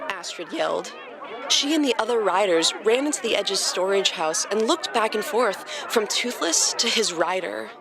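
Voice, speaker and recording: A woman hosting a podcast and reading a story aloud as expressive scripted narration. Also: mid-range voice, normal speed, gravelly, a crowd in the background. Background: music.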